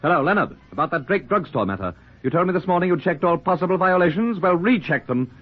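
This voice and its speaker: Bossy, fast-talking rich businessman. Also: sits high, sing-song, husky, heavily acted. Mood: commanding. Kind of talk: 1940s radio acting. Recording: dull 1940s radio recording.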